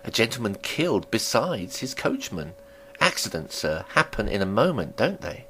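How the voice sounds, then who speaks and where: A man's voice: on the low side, very animated, moderate pace, pushed and bright, slight background noise, rough recording. A man voicing a chatty, nosy, deferential country landlady in a storybook reading, with folksy rustic talk that rambles and fusses.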